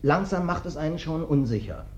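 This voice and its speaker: An actor playing a huge ex-wrestler turned vagrant in a radio play, ingratiating, sly and sentimental, with a wheedling, over-polite, folksy manner. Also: male voice, a little high, animated, fairly quick, darkish tone, natural voice. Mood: musing. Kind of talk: classic German radio play acting. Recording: dull, band-limited old radio recording.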